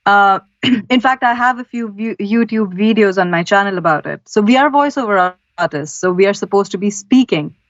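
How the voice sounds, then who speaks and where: Woman from India, pro voice-over artist as guest, earnest and practical, medium pitch, slightly muffled recording. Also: expressive, moderate pace, dry recording. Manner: earnest, practical, gives advice step by step. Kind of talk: interview answers. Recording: slightly dull, lo-fi audio.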